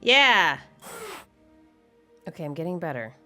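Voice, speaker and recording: A woman hosting a video with casual, informal commentary, expressive and wryly opinionated. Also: lowish voice, a little slow. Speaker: video host. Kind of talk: casual video commentary with game play reactions. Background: background music.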